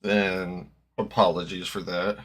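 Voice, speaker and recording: A man with a slightly gravelly voice, chatting casually as he reacts live to a football match, rambling and correcting himself as he goes. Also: low-pitched, little pitch movement, takes it slowly, a bit bright. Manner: rambling, self-correcting, hopeful fan. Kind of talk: casual live-stream chat.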